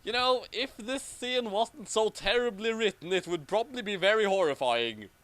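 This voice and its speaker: Lively, fairly high-voiced man reading aloud. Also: normal speed. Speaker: reader and commentator. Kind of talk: amateur story reading with mocking commentary.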